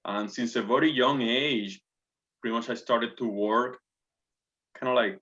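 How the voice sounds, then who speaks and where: A man's voice: mid-range voice, a little slow, sharp tone. A man in his forties from Puerto Rico with a Puerto Rican Spanish accent, a guest answering interview questions earnestly and politely, with many fillers and restarts. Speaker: guest, navy officer. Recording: dull lo-fi audio.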